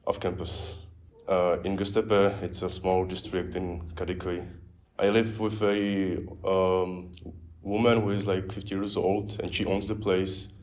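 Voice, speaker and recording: Twenties male exchange student, from the Czech Republic, low flat delivery, halting casual answers. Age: 20s. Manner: hesitant, many fillers and repeats.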